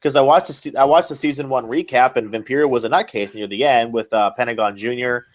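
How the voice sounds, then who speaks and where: Guy on a podcast, avg pitch, casual fan chat, super into it and swears a lot. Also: fast. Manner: enthusiastic, profane. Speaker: podcast co-host.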